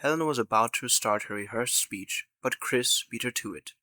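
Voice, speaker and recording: A man's voice: mid-range voice, moderate pace, sharp tone, no room sound. A man reading scripted narration for an audio drama as its narrator.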